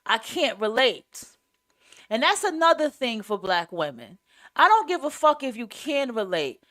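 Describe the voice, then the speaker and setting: A woman podcaster with a raspy voice that carries and a clear New York accent. She talks off the cuff in a casual monologue, blunt and opinionated, leaning hard on her points and swearing freely. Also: on the high side, sing-song, a little slow, fairly bright tone. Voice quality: raspy, carrying voice. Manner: blunt, emphatic, profane. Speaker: podcast host.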